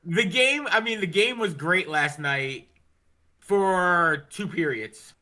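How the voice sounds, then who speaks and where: A man with a high, very sharp and forceful voice talks like a fed-up sports fan on a podcast. He rants casually, with plenty of cursing and exasperation. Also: expressive, takes it slowly. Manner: ranting, heavy swearing, exasperated. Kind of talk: casual hockey fan chat.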